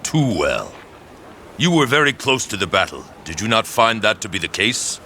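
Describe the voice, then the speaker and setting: A man's voice: fairly low pitch, big pitch swings, normal speed, pushed and bright, slight background noise, heavily acted. A man playing a commanding, visionary warlord of ancient China in a video game, with an assured, grand and probing delivery. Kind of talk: dramatic video game cutscene acting.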